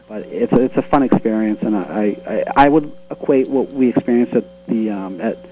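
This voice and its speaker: A man's voice: fairly low pitch, moderate pace, slight background noise. A man with a Boston accent, a webcomic creator being interviewed, talking earnestly with many restarts. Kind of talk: casual interview talk. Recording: tinny phone-line sound.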